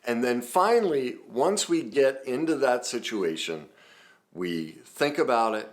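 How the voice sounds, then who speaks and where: A man's voice: mid-range voice, animated, unhurried. A man giving self-help advice straight to the viewer, earnest and reassuring, building emphasis with repeated phrases. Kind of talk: direct-to-camera advice talk.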